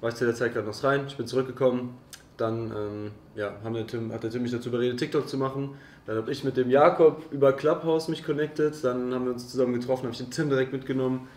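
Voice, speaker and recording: A man's voice: medium pitch, fairly even intonation, quick pace, darkish tone, recorded from a distance. A man of 21 chatting casually in a group Q&A. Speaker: member of a social media video group. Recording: echoey room, off-mic, faint noise.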